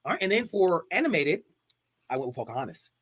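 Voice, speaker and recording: A man hosting a casual livestream chat, excitable and playful, talking fast. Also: a little high, slightly rough. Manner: excitable, playful, puts on quick voices when quoting. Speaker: podcast host.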